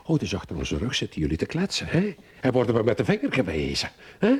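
A man's voice: fairly low pitch, wildly swooping pitch, quick pace, darkish tone, husky, exaggerated voice. A man acting in a Flemish radio drama as a middle-aged father who is defensive and protective of his wife. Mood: indignant.

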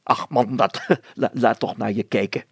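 A man's voice: medium pitch, steady tone, measured pace, slightly rough, no room sound. A man voicing a fussy, officious village mayor in a story, blustering and easily exasperated. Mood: amused disbelief.

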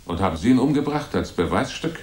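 A man's voice: medium pitch, big pitch swings, quick pace, a little mellow, close to an everyday voice. An actor playing a big, bossy gunman in a noir radio drama, curt, commanding and threatening. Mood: menacing, curt.

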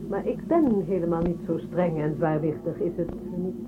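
An actress playing a courteous, probing imperial prosecutor in a radio drama, polite and coaxing in a controlled way. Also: female voice, lowish voice, moderate pace, natural voice. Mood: silky, courteous. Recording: tinny phone-like sound with clear noise.

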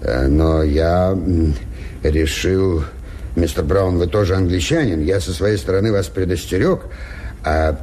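An actor playing a gruff, uneasy ship's captain in a Soviet radio drama, hesitant and deliberate, with muttered asides, on a noisy old recording. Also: male voice, low-pitched, warm tone. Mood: hesitant, cautious.

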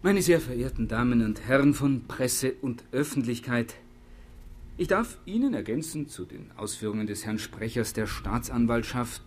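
An actor playing a self-important chief investigator in a radio drama, giving a stilted, pompous official press speech. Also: male voice, mid-range voice, animated, moderate pace, natural voice.

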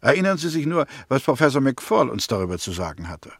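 A persistent prosecutor in a 1960s German radio drama. His mid-pitched voice sounds close and dry. He is formal, cool and methodical as he builds his case. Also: big pitch swings, brisk, slightly rough, no room sound. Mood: firm. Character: sober, persistent male prosecutor, coolly probing.

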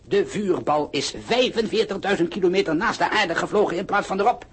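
An actor playing a vain, irascible older observatory director in a Dutch radio play, grandiose and declamatory, with a husky voice. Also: male voice, sits high, brisk. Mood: irate. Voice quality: husky, breathy. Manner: grandiose, declamatory, abrupt outbursts.

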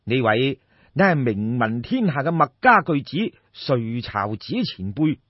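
A man's voice: mid-range voice, very animated, unhurried, breathy edge. A man with a Cantonese accent playing a respectful, formal minister of state in an audiobook. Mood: courteous, commanding. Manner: formal, courteous. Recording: dull, roomy lo-fi recording.

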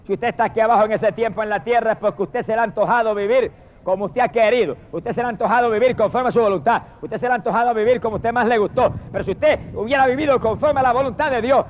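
High-voiced man preaching with fervor. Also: highs cut off. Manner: impassioned, repetitive exclamations of praise. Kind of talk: fervent sermon.

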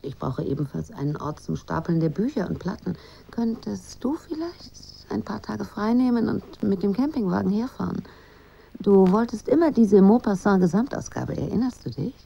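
Husky, creaky, pensive woman. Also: mid-range voice, animated, moderate pace, mellow, lo-fi sound. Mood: pensive, wistful. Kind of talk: radio drama acting.

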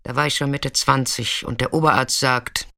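An actress playing a troubled, bitter, vulnerable woman who recounts a hard life in deliberate fragments in a radio play. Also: very deep voice, fairly even intonation, vocal fry, pushed and bright, a little hiss or hum, exaggerated voice. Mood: indignant. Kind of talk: theatrical radio play monologue in German.

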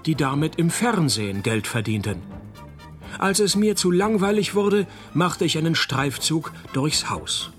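Sardonic private eye from a radio play, deadpan, slightly high male voice. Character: laconic, wisecracking male private detective. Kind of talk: radio play narration and dialogue.

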